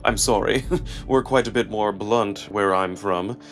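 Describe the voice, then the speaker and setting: A man's voice: on the low side, unhurried, soft background music. A man voicing a young jackal prince, cheeky and provocative, with a teasing, smirking delivery in a visual novel performance. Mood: smug teasing.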